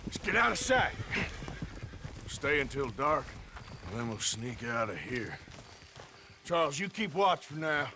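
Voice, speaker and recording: An actor playing a weary, gruff American outlaw of the frontier, blunt and sardonic in a folksy way, as in a game cutscene. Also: male voice, medium pitch, sing-song, a little slow, pauses often. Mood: weary, commanding. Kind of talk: video game cutscene acting.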